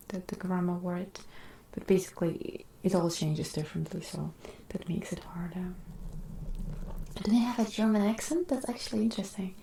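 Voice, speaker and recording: A woman whose first language is Russian, chatting casually with viewers on an ASMR stream, drifting between whispers and soft talk. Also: medium pitch, reverberant, slight background noise, poor audio.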